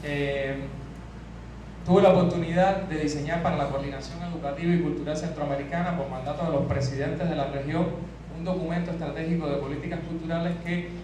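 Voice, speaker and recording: A Cuban man with a strained, tired voice gives an academic talk, his pitch sitting a little high. He speaks in a measured way and often stops to restart a phrase. He is picked up from a distance in a very echoey conference hall with clear background noise. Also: fairly even intonation. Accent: Cuban Spanish. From Cuba. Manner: measured, with frequent hesitations and restarts. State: voice strained from hours of teaching.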